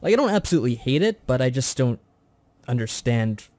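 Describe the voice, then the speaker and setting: A man co-hosting a casual review chat, talking in a measured, mild-mannered way. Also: medium pitch, very animated, a little mellow, no echo. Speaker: podcast co-host.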